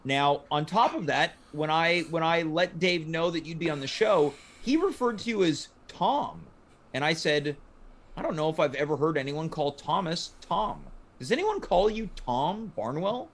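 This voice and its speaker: Higher-voiced man hosting a casual talk show. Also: lively intonation, moderate pace. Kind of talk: casual live comedy talk show banter.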